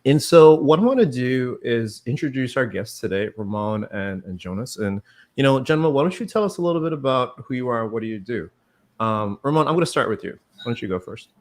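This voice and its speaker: A man's voice: mid-range voice, lively intonation, fairly quick. A man hosting a casual developer livestream, upbeat and welcoming as he introduces his guests. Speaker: livestream host, developer advocate.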